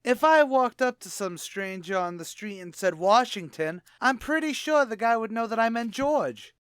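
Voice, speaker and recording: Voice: mid-range voice, lively intonation, moderate pace, fairly bright tone, smooth, no room sound, clean studio sound, lightly acted. An actor playing a pompous, wisecracking tavern manager with a brash, mock-erudite manner in a radio comedy. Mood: pompous, argumentative. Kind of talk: old-time radio comedy acting.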